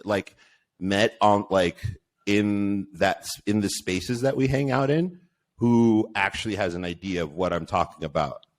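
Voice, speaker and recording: A man with a lowish voice talks in a loose, rambling way. He restarts his sentences often and teases the others in the group. It sounds like a relaxed podcast conversation. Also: unhurried. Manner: rambling, many restarts, teasing. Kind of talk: casual panel chat.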